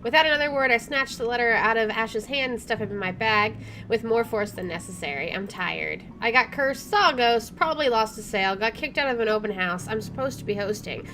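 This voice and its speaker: A woman's voice: on the high side, animated, a little fast, hardly any pauses, background noise. A woman doing a let's play, reading game narration aloud and adding informal, reactive commentary. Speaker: game streamer, let's player. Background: game music.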